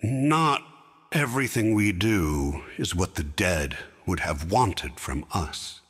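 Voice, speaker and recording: A man playing an aging, grief-bent king who slowly recalls a lost friend in a fan audio drama. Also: low voice, expressive, a bit bright, breathy edge, in a large hall, full character voice. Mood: grieving. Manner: slow, heavy reminiscing. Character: grieving aging king, stern yet sorrowful.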